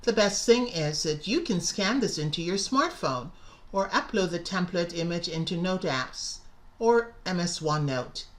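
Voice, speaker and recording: Low-pitched woman teacher, deliberate delivery. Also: animated. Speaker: English teacher and presenter. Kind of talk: instructional video lesson, scripted narration.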